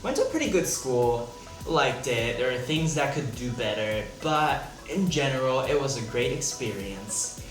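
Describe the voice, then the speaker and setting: Young male vlogger from Hong Kong, casual and joking, mid-pitched, echoey room with music underneath. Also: animated, normal speed. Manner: chatty, playful asides. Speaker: vlogger, home cook. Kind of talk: casual cooking vlog chat. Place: small apartment room.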